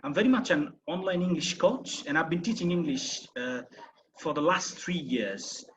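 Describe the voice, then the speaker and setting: A man, an online English tutor, speaking haltingly with fillers. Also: fairly high pitch, expressive, slight background noise, poor audio. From Bangladesh. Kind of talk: reading narration.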